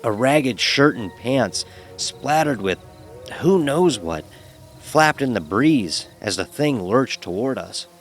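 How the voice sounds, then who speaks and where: A man's voice: medium pitch, expressive, slow, faint music behind. A man narrating a first-person horror story in a dramatic, wry manner.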